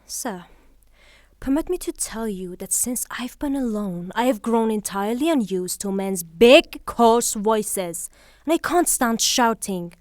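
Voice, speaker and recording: A woman's voice: on the high side, expressive, unhurried, a little mellow, no echo, clean studio sound. A woman acting in a theatrical audio drama as a proud, prim widow, formal and reproving. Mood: icy, reproachful. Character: proud, prim widowed lady, indignant and dignified.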